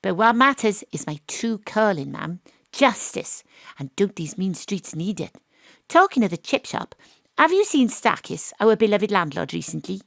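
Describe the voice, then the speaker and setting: A woman's voice: medium pitch, sing-song, moderate pace, creaky, dry recording. An actress playing a wry, hard-boiled woman detective, narrating in noir style and trading dry lines. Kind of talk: noir first-person narration and dialogue. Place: home studio.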